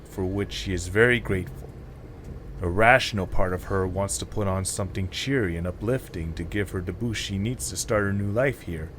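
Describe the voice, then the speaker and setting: A man's voice: deep voice, slight background noise. A man reading scripted story narration as the narrator of an audio drama.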